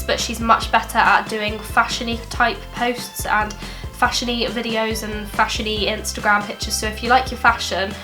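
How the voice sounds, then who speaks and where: Young woman, bright voice, a bit high, stuffy from a cold, chatty tutorial vlog. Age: young adult. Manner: chatty, friendly, many ums. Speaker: beauty vlogger. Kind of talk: makeup tutorial. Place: bedroom desk indoors.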